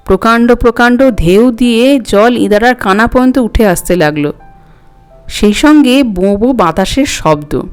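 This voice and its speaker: Animated, high-voiced woman storyteller. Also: smooth. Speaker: audiobook narrator. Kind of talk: scripted story narration.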